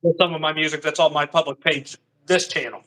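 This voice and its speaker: Young man with a high, bright voice. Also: steady tone, brisk, low-quality recording. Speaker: guest musician. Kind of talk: casual livestream chat.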